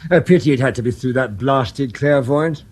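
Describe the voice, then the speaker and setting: An actor playing a brisk, authoritative male police superintendent in a radio drama. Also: medium pitch, darkish tone, natural voice.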